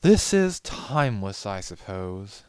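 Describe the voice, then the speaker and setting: A man's voice: on the low side, sing-song, slow pace, a little mellow, smooth, no room sound, clean studio sound, pitched above the performer's own voice. A man voicing a haughty, exacting female pony in a storybook reading, with imperious, theatrical delivery. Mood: cool, haughty.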